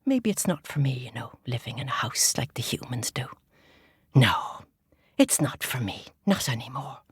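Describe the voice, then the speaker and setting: Woman as a timid, breathy lady rabbit. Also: extremely low pitch, sing-song, moderate pace, no echo, whispered, studio quality, pitched below the performer's own voice, exaggerated voice. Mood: resigned.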